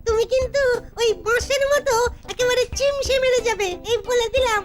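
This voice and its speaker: Tiny whiny cartoon child, extremely high squeak, over prominent music. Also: female voice, steady tone, a little fast. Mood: whiny warning.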